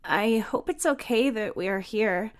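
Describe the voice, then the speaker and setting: A woman's voice: medium pitch, unhurried, voice pushed up, close to an everyday voice. Woman acting kind, shy young woman. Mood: gentle, nudging.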